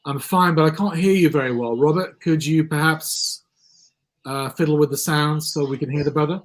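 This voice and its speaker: Man hosting a live show, voice going hoarse. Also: a little high, normal speed. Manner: hesitant, frequent ums and restarts. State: losing his voice. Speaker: livestream host. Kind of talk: live election-night discussion. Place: studio.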